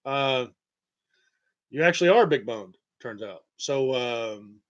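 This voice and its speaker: Male storyteller, middle pitch, digressive and wry with uneven emphasis; muffled, low-fidelity recording. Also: animated, fairly bright tone. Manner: halting, digressive, wry, chuckling at grim details. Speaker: storyteller and host. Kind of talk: rambling personal monologue about own medical history.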